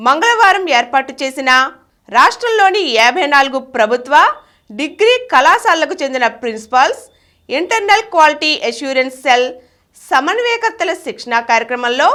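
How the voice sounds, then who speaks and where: A woman's voice: high voice, sharp tone. A woman reading scripted news reports. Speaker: news reader.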